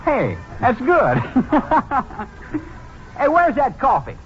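An actor playing an eager, boastful young American car thief in a slangy, cocky manner, in a 1950s radio drama. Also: male voice, very high-pitched, wildly swooping pitch, very slow, faint music behind, exaggerated voice. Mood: boastful, chummy. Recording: muffled vintage 1950s radio recording.

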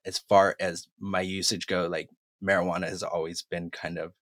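A candid man in his forties from New England speaks with a lowish, grounded voice in a dry, close setting. He reflects on the past at an unhurried pace and often pauses on small fillers as he gathers his thoughts. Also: flat delivery, fairly bright tone, dry recording. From Massachusetts, New England. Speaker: guest telling his story. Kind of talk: recorded personal story.

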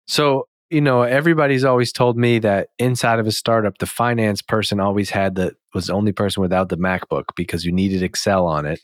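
Man, lowish pitch, dry recording, podcast host, casual conversational interviewing. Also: steady tone, brisk, hardly any pauses, no echo.

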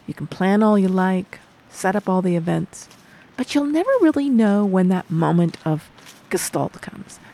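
A woman's voice: mid-range voice, lively intonation, normal speed, mellow, rough recording. A woman hosting a travel podcast, narrating a scripted story in a reflective, wry manner. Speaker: podcast host.

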